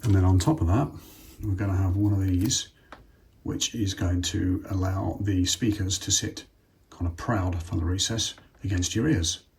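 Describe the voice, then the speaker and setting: Deep-voiced British man giving a hands-on tutorial. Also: steady tone, moderate pace, creaky, a little mellow, a little hiss or hum. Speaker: presenter of a product installation tutorial. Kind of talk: casual step-by-step hands-on demonstration.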